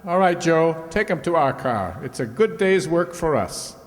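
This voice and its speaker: An actor playing a gruff, threatening henchman who poses as police in a radio play. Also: fairly high pitch, expressive, unhurried. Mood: menacing, smug. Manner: bossy, threatening. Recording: echoey hall, long reverb.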